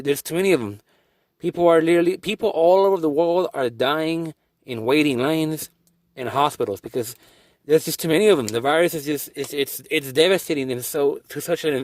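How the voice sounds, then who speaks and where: A man's voice: fairly high pitch, no room sound. A young man from Guatemala giving an earnest, rambling video update, often restarting his sentences. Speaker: vlogger.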